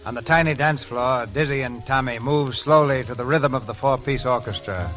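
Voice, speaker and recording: A man narrating formally as host of a 1930s radio drama, with measured scene-setting, on an old broadcast recording. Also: medium pitch, over music.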